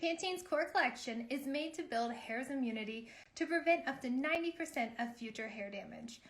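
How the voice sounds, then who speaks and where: A woman's voice: high voice, animated, a little fast, fairly bright tone. A woman hosting an online interview show, upbeat and encouraging in manner. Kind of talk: live online interview, scripted sponsor read then casual chat.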